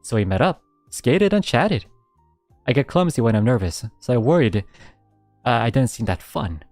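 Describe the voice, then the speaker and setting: A young man streaming a casual radio-style chat, reading out listener submissions, laughing often and reacting in an animated way. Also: moderate pace, no echo, soft background music. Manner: animated, laughs often, jumps high when excited.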